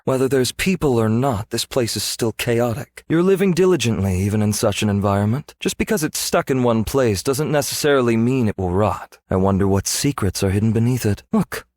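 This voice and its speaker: A male actor voicing a scholarly, world-weary archaeologist in a video game, speaking in a measured, reflective, formal way. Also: on the low side, a little mellow, dry recording. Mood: pensive, wry. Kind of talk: scripted game character voice lines.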